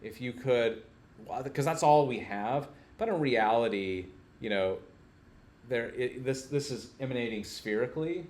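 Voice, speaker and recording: A man's voice: mid-range voice, animated, measured pace, darkish tone. A man teaching a casual hands-on technical lesson, informally thinking aloud as he works through each step.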